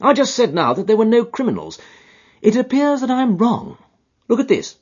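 A man reading aloud voices a sharp, aloof male detective, quick and superior. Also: very high-pitched, big pitch swings, husky, voice pushed up, full character voice. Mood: lofty. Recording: dull lo-fi recording.

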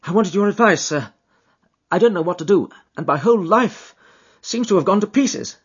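A man voicing a distressed, reserved man in his thirties who speaks in sharp, jerky outbursts. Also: sits high, animated, normal speed, husky, dull audio, voice pushed up. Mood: distraught.

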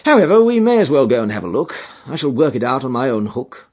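A man reading aloud voices a sharp, aloof male detective, quick and superior. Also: medium pitch, big pitch swings, husky, voice pushed up. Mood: languid. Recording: dull lo-fi recording.

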